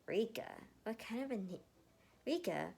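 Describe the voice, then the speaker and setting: A woman voicing a shy, sweet teenage boy in a story, hesitant and soft-spoken. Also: a little high, lively intonation, moderate pace, creaky, breathy edge, voice pushed up, heavily acted. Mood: puzzled.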